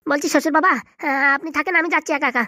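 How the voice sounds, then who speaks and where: A man's voice: very high voice, steady tone, racing, bright, forceful tone, dry recording, clean studio sound, heavily acted. A man voicing an anxious, reluctant young husband in a comedy cartoon, fretful and evasive with his father-in-law. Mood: exasperated.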